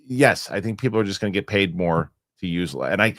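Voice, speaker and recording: A man hosting a casual podcast chat, animated and rambling, often restarting his sentences. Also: low voice, brisk, no echo, clean studio sound. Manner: animated, rambling, self-correcting with repeated starts. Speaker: show host.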